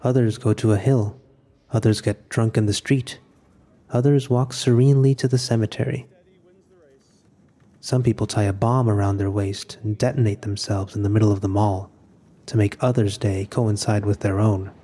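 A man acting a resigned, solitary narrator in scripted audio fiction, speaking in a measured, introspective way about his own final day. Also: lowish voice, steady tone, mellow, lightly acted. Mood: quiet resignation.

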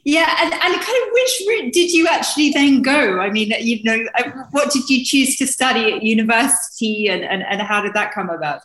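A woman's voice: on the high side, sing-song, moderate pace, hardly any pauses, a bit bright, reverberant, rough recording. A woman hosting a conversational interview in a gushing, effusive manner. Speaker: interview host.

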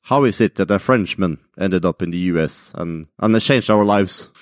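A man's voice: sits low, normal speed, no room sound, studio quality. A man with a Norwegian accent, politely interviewing a guest on his podcast and often laughing. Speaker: podcast host. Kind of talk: interview questions.

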